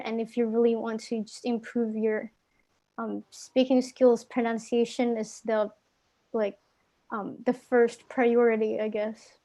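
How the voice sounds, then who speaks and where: Young Indonesian woman, Indonesian accent, slightly high voice, casual online English lesson, lots of ums and restarts. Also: a little mellow. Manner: casual, filler-heavy, self-correcting. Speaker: guest English speaking tutor. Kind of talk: live online class talk.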